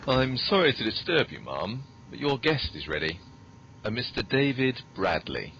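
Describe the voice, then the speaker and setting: A man's voice: mid-range voice, very animated, moderate pace, gravelly. A man playing a stiffly polite, deferential butler in a comedy podcast sketch. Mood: formal, deferential. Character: formal, deferential male butler announcing guests. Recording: dull lo-fi recording, background noise.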